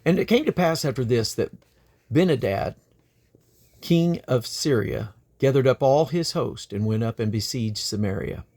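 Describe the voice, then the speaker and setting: A man reading the Bible aloud in a deliberate, expressive way. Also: medium pitch. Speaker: scripture reader.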